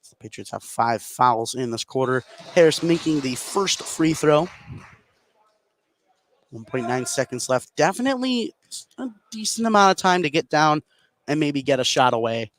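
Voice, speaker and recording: A man's voice: a little high, expressive, moderate pace, fairly bright tone. A man doing live radio play-by-play of a basketball game, following the action in short clipped phrases. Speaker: play-by-play sports announcer. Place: indoor high school gym. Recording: live sports broadcast with clear gym noise. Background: clear game noise.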